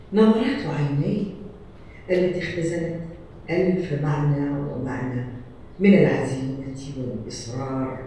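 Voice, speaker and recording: Fast, echoey woman reading poetry. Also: low-pitched, a little mellow. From Iraq. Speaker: poet. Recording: very echoey, distant, faint noise.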